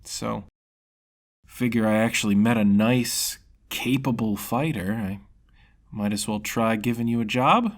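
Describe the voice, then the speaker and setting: A man's voice: on the low side, unhurried. A man voicing a scheming, anxious young demon woman with a casual, coaxing manner in a fantasy story.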